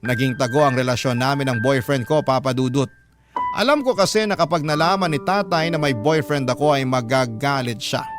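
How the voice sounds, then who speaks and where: A male radio host reads a personal letter as a dramatic story. His mid-pitched voice sits over clearly audible instrumental music. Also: brisk. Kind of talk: dramatic first-person reading of a listener's letter.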